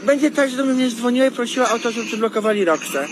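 A man's voice: extremely high pitch, fast. A man in a confrontational phone argument, speaking in an insistent, repetitive and threatening manner. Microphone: handheld phone. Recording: noisy phone video recording.

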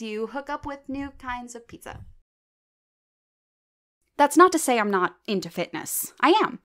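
Woman as idealistic young female comic, sincere, earnest, mid pitch, audio drama. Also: fairly bright tone, natural voice. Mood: stage patter.

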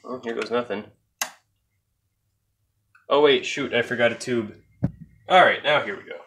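A man's voice: medium pitch, measured pace, background noise. A man casually narrating a repair job as he works, thinking aloud in an offhand way. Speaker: hobbyist radio repairer. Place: indoor workbench.

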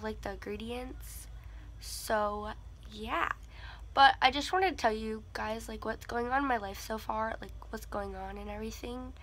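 Bright-voiced congested young woman vlogging. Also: on the high side. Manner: chatty, many "like" fillers. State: congested from a cold. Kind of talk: casual chat. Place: bedroom with a tapestry behind her.

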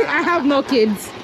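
A woman with a Kenyan accent chatting casually and playfully as a travel vlogger out on the street. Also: sits high. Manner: playful, laughs often. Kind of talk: casual chat with passers-by and off-the-cuff commentary. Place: outdoors on a busy city street by traffic. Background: music, street crowd and vehicles.

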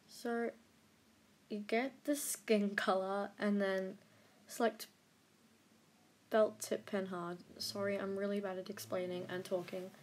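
Hesitant young woman rambling through a tutorial. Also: mid-range voice. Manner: rambling, self-deprecating, hesitant with many fillers. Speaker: editing tutorial creator. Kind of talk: casual screen-recorded tutorial.

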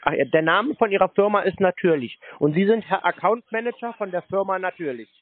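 A man's voice: sits high, brisk, no room sound. Tinny, sarcastic man on a phone call. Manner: sarcastic, scolding, blunt. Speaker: scam baiter. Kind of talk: mocking phone conversation. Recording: tinny phone-like sound, clear noise.

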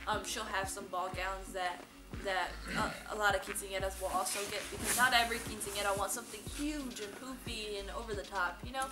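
A woman's voice: medium pitch, fairly even intonation, fairly quick, over music. A young adult woman chatting informally as she gives explanatory advice as a vlog host. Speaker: vlog host, boutique worker. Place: indoor dress shop. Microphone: camera a few metres away. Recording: roomy sound from camera distance.

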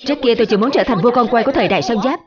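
A woman's voice: fairly high pitch, rapid-fire, lo-fi sound, crowd noise, natural voice. A woman voicing an earnest, brave young boy hero in a dubbed children's cartoon, declaiming with youthful resolve. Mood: earnest, resolute. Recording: music under the dialogue. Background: dramatic music.

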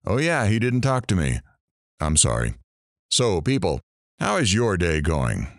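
A man's voice: low voice, huge pitch swings, measured pace, exaggerated voice. A man playing a brash, wisecracking morning radio host in an audio drama, with sarcastic, theatrical delivery. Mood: teasing, theatrical. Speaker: radio talk show host.

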